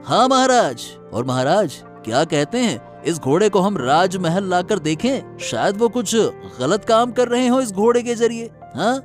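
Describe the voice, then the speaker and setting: A man's voice: sits high, lively intonation, unhurried, few pauses, soft background music. A man voicing a greedy, scheming royal courtier in a cartoon story, with an oily, insinuating manner. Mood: fawning, insinuating. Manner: oily, insinuating, talks aloud to himself. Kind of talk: animated moral story acting.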